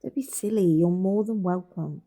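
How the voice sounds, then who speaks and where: Bossy older sister, soft dark tone, slightly low pitch, insistent, protective, natural radio drama. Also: female voice, moderate pace. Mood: coaxing. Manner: firm, coaxing, insistent. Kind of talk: naturalistic audio drama acting.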